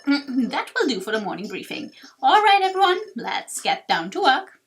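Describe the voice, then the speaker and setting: Woman voicing a formal, high-pitched game briefing officer. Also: very animated, moderate pace, fairly bright tone, heavily acted. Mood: stern, instructing.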